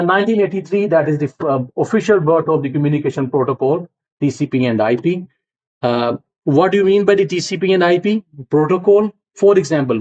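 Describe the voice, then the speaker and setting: A man's voice: medium pitch, darkish tone, dull audio. A middle-aged man from Punjab with a Punjabi accent, giving a university lecture and explaining ideas step by step while checking in with his students. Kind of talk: classroom lecture.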